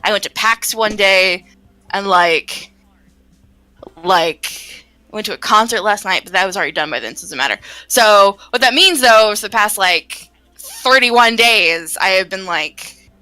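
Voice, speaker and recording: A woman's voice: mid-range voice, sharp tone. A woman chatting casually on a game stream as a developer, rambling and self-deprecating, with casual swearing. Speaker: indie visual novel developer. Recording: music playing behind the voice.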